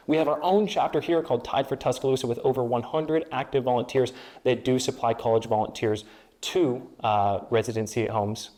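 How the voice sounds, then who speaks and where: A young man whose first language is Spanish and who grew up in Peru and the Dominican Republic. He is a student government candidate giving a speechlike campaign pitch in an interview, building repeated phrases into rhetorical lists. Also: darkish tone. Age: young adult.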